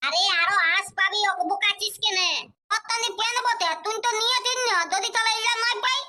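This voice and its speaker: A performer voicing a childlike cartoon villager who haggles eagerly, with a squeaky lisping voice and a Purulia Bengali accent. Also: fairly even intonation, a little fast, bright, forceful tone, heavily acted. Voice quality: very high, squeaky, babyish lisped consonants. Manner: pleading, nagging village bargainer. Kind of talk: comedy cartoon dialogue.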